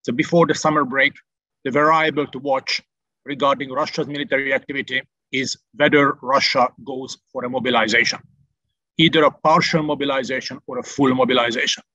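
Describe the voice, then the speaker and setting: A Hungarian-accented man walks through a military briefing in a bright, forceful voice, pausing often on filler sounds. The sound is dry and a little dull, like online call audio. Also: mid-range voice, fairly even intonation, no echo. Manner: methodical, frequent "uh" fillers. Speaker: military analyst. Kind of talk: briefing over a shared map. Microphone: video call.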